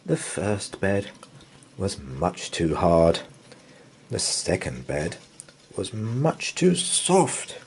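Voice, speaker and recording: A man reading a picture book aloud as a bedtime story, in an expressive, sing-song manner. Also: sits low, extremely slow, breathy edge. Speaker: children's story reader. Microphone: camera above a picture book.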